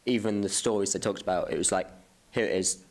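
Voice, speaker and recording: A man's voice: low-pitched, fast. A man on a podcast, talking casually as he discusses a film. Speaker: podcast host.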